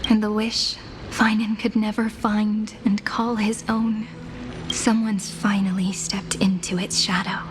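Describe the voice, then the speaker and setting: Woman voicing a mysterious guide, gentle, near-whispered, unhurried, game score. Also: mid-range voice, little pitch movement, natural voice. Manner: soft, breathy, near-whispered. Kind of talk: game cutscene voice acting. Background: game music.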